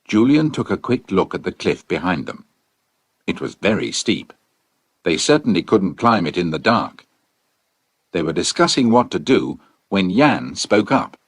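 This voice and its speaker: A man's voice: low voice, very animated, unhurried, dry recording. A man reading scripted narration for a children's adventure audiobook.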